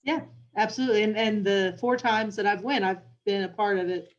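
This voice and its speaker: A woman's voice: medium pitch, steady tone, fairly quick, dull audio. A woman chatting casually as an interview guest, hesitant with many restarts. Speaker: visiting queen, interview guest. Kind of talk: casual chat.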